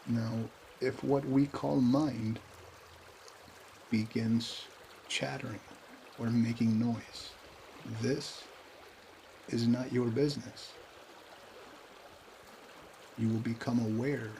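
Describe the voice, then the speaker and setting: Man, meditation guide, calm, slow and drawn-out delivery, fry-laden voice, short phrases with pauses, trickling water behind. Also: mid-range voice, slight background noise. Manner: calm, unhurried, pausing between short phrases.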